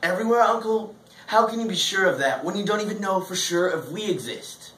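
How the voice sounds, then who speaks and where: A man's voice: high-pitched, lively intonation, a little fast, fairly bright tone. A man performing a comic stage monologue as a hugely obese, grandiose philosopher, speaking in a theatrical, mock-solemn way. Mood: earnest, storytelling. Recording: roomy, distant mic, faint noise.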